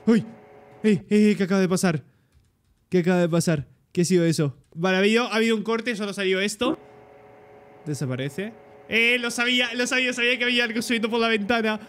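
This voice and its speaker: A young man with a Peninsular Spanish accent giving excitable reaction commentary and translating aloud as he goes. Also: high voice, fast talker. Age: young adult. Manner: excitable, interjecting. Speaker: reaction video host. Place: indoor room, webcam reaction setup. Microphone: desktop streaming microphone.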